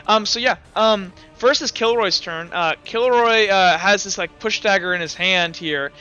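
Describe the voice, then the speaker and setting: A young man running a tabletop game as game master, narrating scenes and explaining rules in a halting way with many fillers and restarts. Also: high voice, pushed and bright, muffled recording, soft background music.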